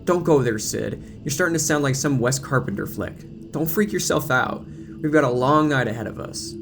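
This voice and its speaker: A man's voice: medium pitch, normal speed, vocal fry, faint music behind, natural voice. A man voicing a brash, flippant teenage girl, a bossy but loyal friend, in an audio drama. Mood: reassuring.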